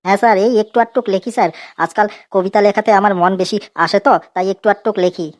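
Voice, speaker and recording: A cheeky, slow-witted cartoon schoolboy, voiced by a woman. The pitch stays in a narrow band at a middle height, and the delivery is naive, wheedling and colloquial. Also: steady tone, natural voice. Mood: proud, reciting. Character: cheeky dim schoolboy, a self-styled poet. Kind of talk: comedy cartoon dialogue.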